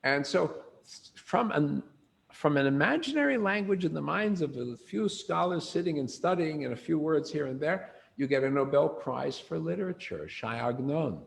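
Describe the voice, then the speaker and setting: Older male lecturer, gravelly, conversational teacher who quizzes the room. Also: on the high side, normal speed, slight background noise. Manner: animated storyteller, asks the room questions. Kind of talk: informal lecture to a group.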